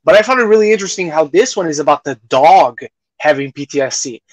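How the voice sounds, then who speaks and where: A man co-hosting a podcast, discussing films casually in a measured way and qualifying his opinions carefully. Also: on the high side, animated, no room sound. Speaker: podcast co-host.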